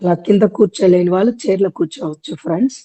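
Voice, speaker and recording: A woman leading a guided meditation, giving gentle instructions in short, often repeated phrases. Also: mid-range voice, rapid-fire, breathy edge.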